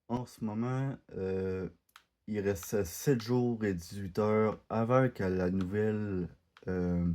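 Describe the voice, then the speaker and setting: Man, Québécois accent, gaming commentary, stumbling, unhurried, dark tone. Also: fairly low pitch. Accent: Québécois French. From Quebec, Canada. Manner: hesitant, many fillers and restarts. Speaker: gaming video creator. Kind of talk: casual gameplay commentary.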